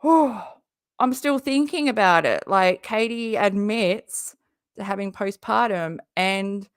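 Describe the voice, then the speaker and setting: A woman hosting a casual live stream, chatty and jokey, who talks straight to her viewers and is hoarse from often losing her voice. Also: medium pitch, slow, no echo, clean studio sound. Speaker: YouTube live-stream host and comedian.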